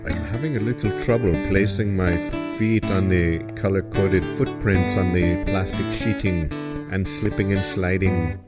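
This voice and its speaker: Very deep deadpan man over loud guitar. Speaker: improv comedy performer. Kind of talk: improvised comedy dialogue. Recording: loud guitar music alongside the voice.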